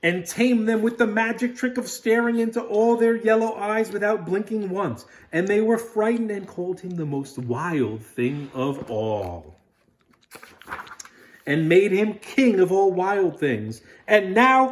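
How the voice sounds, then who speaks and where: A woman's voice: medium pitch, lively intonation, slow pace, slight background noise. A woman reading a storybook aloud as a parent, chatting with a small child and encouraging them with questions. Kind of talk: read-aloud storytelling with casual chat to a child.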